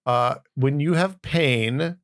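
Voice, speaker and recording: American man, creaky voice, chatting casually. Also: medium pitch, slow pace, no echo, studio quality. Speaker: podcast host. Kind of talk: casual chat.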